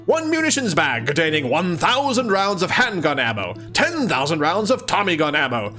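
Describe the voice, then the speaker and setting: Guy doing a lively read-along, kinda high voice, giggly and chatty, music in the background. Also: normal speed. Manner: giggly, expressive, dramatic reading mixed with chatty asides. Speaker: host of a live let's-read of a webcomic. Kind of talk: animated read-aloud with casual commentary.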